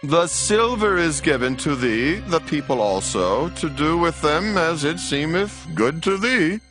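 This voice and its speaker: A man's voice: on the high side, animated, unhurried, a bit bright. An actor playing an ancient king granting a request in a dramatized audio Bible, with music behind him. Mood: commanding. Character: male Persian king granting his official's request. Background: background music.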